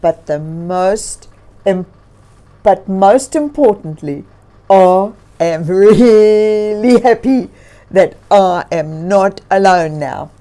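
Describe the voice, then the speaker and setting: A big, lonely grizzly bear from a children's storybook, acted out by a woman. He speaks slowly and emphatically, with a soft-edged, mellow tone. He sounds earnest, remorseful and grateful at heart. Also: medium pitch, expressive. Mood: thoughtful, thankful.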